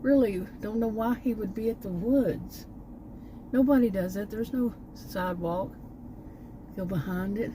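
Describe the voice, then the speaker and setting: A woman's voice: mid-range voice, unhurried, background noise. A woman from Texas with a Texan accent, talking in a rambling, chatty way full of fillers and self-corrections as a channel host sitting outside near woods. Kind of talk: casual vlog monologue. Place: outdoors near woods and a park.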